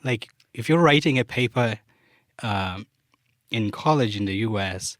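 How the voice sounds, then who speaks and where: Man, young, low voice, dry close sound, loose easy talk full of fillers. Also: measured pace, no echo. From Uganda. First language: Luganda. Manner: relaxed, many fillers like "like" and "you know". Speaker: guest, software developer. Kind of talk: casual podcast interview.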